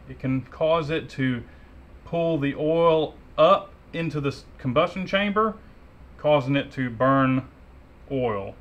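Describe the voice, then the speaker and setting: A man's voice: mid-range voice, fairly bright tone. A man in his thirties, a mechanic host chatting casually as he rebuilds an engine in his garage workshop. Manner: easygoing, talks while working with his hands.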